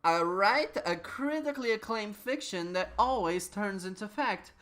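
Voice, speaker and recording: A woman's voice: mid-range voice, animated, a little slow, fairly bright tone, pitched above the performer's own voice. A woman voicing a towering, self-important male author in a tuxedo, boastful and pompous, in a comic story.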